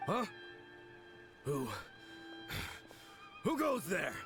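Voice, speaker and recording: Creaky, wildly swooping manly swordsman. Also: high voice, sing-song, very slow, music in the background, exaggerated voice. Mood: boastful mania. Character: bombastic, crazed swordsman obsessed with manliness. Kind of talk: video game character acting.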